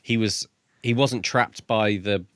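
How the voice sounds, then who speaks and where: A man's voice: fairly low pitch, steady tone, slow, clear, resonant tone, dry recording, studio quality. A man co-hosting a podcast, talking casually about books. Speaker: podcast co-host. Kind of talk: casual book discussion.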